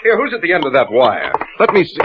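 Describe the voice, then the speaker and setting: An actor playing a smooth, sinister husband who teases and threatens his wife in a 1940s radio drama. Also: on the high side, wildly swooping pitch, fast talker, noisy background. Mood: suspicious. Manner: suave, teasing, menacing. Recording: muffled old radio broadcast.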